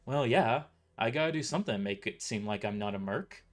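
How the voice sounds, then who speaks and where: A man's voice: mid-range voice, animated, fairly quick, close to an everyday voice. A man voicing a tough, sardonic mercenary woman who is casual about violence, in an amateur audio drama. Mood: gleeful, brash. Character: tough, sardonic young tomboy mercenary woman working as waitress.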